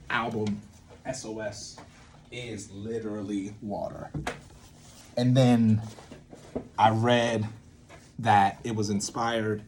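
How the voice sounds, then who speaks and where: A man with a fairly low voice talks off the cuff as he works through creative ideas. His delivery is informal and rambling, with words that get stretched or punched for emphasis. There is some background noise on the recording. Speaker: video series host, theatre maker. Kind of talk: casual unscripted creative-process talk, thinking aloud.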